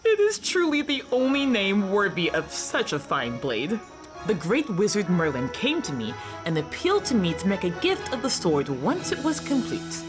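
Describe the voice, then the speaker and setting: A woman's voice: mid-range voice, very animated, normal speed, over music, natural voice. A woman playing a proud, regal fae goddess of fire and craft in a radio play, stately and declamatory. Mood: grand, proud. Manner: declamatory, stately, self-assured.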